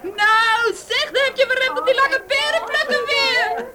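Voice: extremely high pitch, unhurried, over a noisy crowd. An actor playing a mocking, daring street troublemaker in a radio drama, taunting shrilly. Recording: noisy crowded outdoor radio scene.

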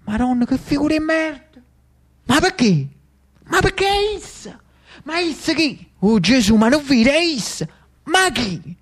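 A man voicing an excited, mocking Neapolitan street crowd in solo theatre, exclaiming and repeating questions in a Neapolitan accent. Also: very high-pitched, unhurried, voice pushed up, exaggerated voice. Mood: astonished.

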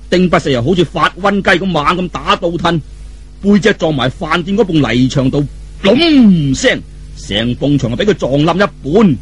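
Cantonese guy doing dramatic storytelling, raspy, highish voice, very theatrical. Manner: theatrical, colloquial, with vivid sound effects and exclamations. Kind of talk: animated dramatic Cantonese storytelling, colloquial audiobook narration.

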